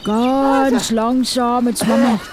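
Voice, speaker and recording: A man's voice: extremely high pitch, little pitch movement, takes it slowly, crowd noise. A man, improvising casually in character in a role-play. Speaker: player in an improvised audio-play adventure, as a ship's doctor. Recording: clear background noise.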